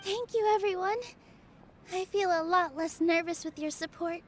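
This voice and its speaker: A woman voicing a gentle young female dancer in a game, soft, hesitant and earnest. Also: very high voice, normal speed. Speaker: theater dancer. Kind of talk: game voice acting.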